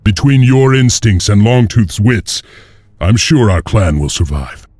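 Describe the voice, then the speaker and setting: An actor playing a grim, defiant wolf clan warrior leader with a gravelly voice in a dramatic video game cutscene. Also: male voice, fairly low pitch, lively intonation, a little slow, darkish tone, no echo, faint music behind. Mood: grave, resolute.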